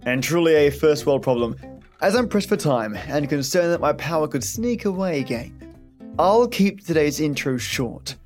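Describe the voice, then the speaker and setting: A male podcast host delivers a scripted but chatty introduction. His voice is a little high for a man, and he speaks to listeners with affection. Faint guitar music plays behind him. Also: darkish tone.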